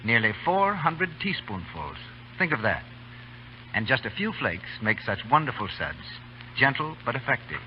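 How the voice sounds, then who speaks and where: A man's voice: medium pitch, big pitch swings, moderate pace. An American man announcing in 1930s radio style with an emphatic salesman's delivery. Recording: muffled old radio with faint hum.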